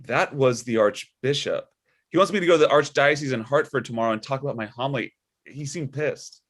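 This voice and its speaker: A man's voice: mid-range voice, fairly bright tone, close to an everyday voice. Man playing a hesitant, earnest deacon dad. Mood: uneasy. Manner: hesitant, halting. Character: awkward, earnest widowed father, a church deacon. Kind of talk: comedy script table read.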